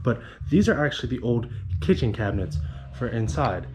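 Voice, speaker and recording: A man's voice: mid-range voice, big pitch swings, moderate pace. A man in his twenties chatting casually to the camera as a vlogger, rambling and circling back over his own words. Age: 20s. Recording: loud vehicle and ambient noise.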